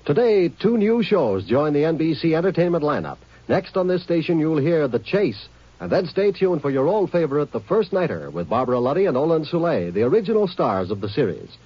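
A man's voice: fairly high pitch, big pitch swings, brisk, slightly rough, no echo. A man reading an upbeat network promo for upcoming radio shows. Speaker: network announcer. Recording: dry muffled old radio recording.

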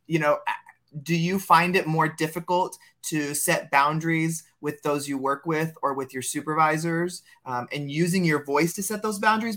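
A man's voice: fairly high pitch, sharp tone. Reflective man hosting a conversation. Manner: reflective, thoughtful. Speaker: podcast host, educational leader. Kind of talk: casual conversation.

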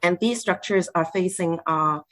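A woman's voice: fairly low pitch, normal speed, fairly bright tone. A woman speaking as a lawyer on a panel, introducing her work with frequent hesitations.

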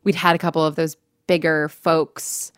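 A chatty American woman speaks as an interview guest in a slightly low voice. She tells stories casually and pokes fun at herself, recorded very dry. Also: little pitch movement, moderate pace, smooth, no room sound. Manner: chatty, self-deprecating, quotes own thoughts. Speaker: interview guest, podcast writer and producer.

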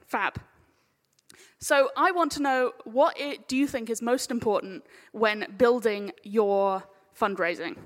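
High-voiced woman in an echoey hall. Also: unhurried. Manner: jokey, playful, cheeky. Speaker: panel chair. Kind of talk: informal live panel moderation. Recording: echoey hall with long reverb.

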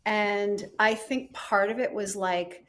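A woman from Rhode Island, a fashion designer guest, talking in a relaxed, chatty way full of fillers. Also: mid-range voice, slow pace. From United States, Rhode Island. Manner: chatty, relaxed, many fillers like "like" and "you know". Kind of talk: casual interview chat.

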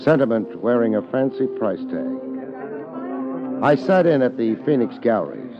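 An American actor playing a wry, world-weary private detective in a 1950s hardboiled radio drama, with deadpan wisecracks. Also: male voice, medium pitch, normal speed, natural voice. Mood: wry narration. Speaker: lead radio actor and narrator. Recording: dull 1950s radio recording, music behind.

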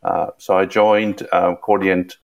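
Middle-aged South African guest, very low voice, measured, repeats words at starts. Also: male voice, flat delivery, gravelly, lo-fi sound. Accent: South African, somewhat Americanized with a British touch. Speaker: guest, tech executive. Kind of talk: podcast interview.

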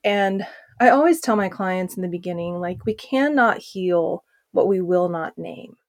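A woman's voice: on the low side, lively intonation, measured pace, no echo, studio quality. A woman who works as a trauma healing coach, answering interview questions in an earnest, reflective way with frequent fillers.

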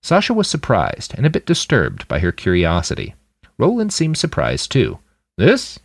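A man reading scripted fiction as an audiobook narrator, with dramatic, expressive delivery. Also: mid-range voice, normal speed, creaky, no echo. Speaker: fiction podcast narrator and author.

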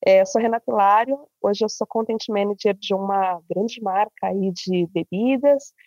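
Uma mulher brasileira, convidada de podcast e produtora, falando de forma entusiasmada e descontraída. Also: female voice, medium pitch, normal speed, a little mellow, no echo. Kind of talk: podcast conversation.

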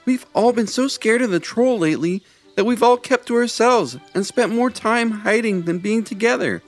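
A man voicing an excited young male squirrel in a storybook reading, chatty and eager. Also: sits high, moderate pace, soft background music, voice pushed up.

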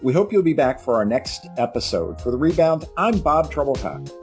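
A man's voice: mid-range voice, big pitch swings, moderate pace, darkish tone, faint music behind. A man hosting a podcast, asking questions in a chatty way and then closing the episode. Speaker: podcast host. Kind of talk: interview hosting and scripted outro.

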